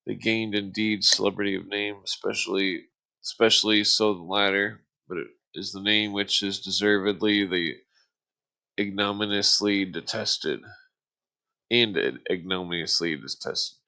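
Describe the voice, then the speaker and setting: A man reading aloud casually on a live stream, halting and stumbling over formal text, with muttered asides. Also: lowish voice, steady tone, vocal fry, sharp tone, rough recording.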